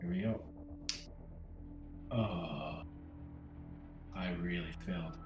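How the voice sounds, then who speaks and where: Male tabletop player, casual talk, slightly low voice, echoey room. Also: slow. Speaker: player in a tabletop roleplaying game. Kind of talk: casual chat during a game. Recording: roomy echo, music underneath.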